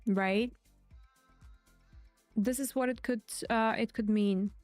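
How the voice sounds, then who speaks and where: A woman's voice: fairly high pitch, steady tone, takes it slowly, darkish tone, dry recording, soft background music. A woman host giving live stream market commentary in a chatty way, repeating her key points. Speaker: crypto trading streamer.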